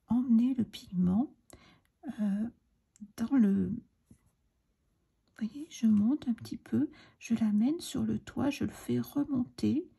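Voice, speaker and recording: A middle-aged woman with a cold, hoarse and breathy, chatting hesitantly and often half-whispering as she explains a crafting tutorial. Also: on the high side, dark tone. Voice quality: raspy, breathy, gravelly. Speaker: card-making tutorial host.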